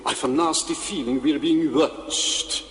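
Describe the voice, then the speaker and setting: A man's voice: on the high side, takes it slowly, a bit bright. A British man playing a pompous, bossy professor adventurer in a theatrical, melodramatic radio comedy style. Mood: grave narrating. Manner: theatrical, exclamatory.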